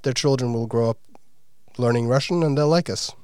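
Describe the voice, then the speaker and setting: An earnest male interviewer reacts to his guest's story, often pausing with fillers. His voice sits at an ordinary middle pitch. The sound is dry and close. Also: a little mellow, clear, resonant tone, dry recording. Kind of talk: conversational interview.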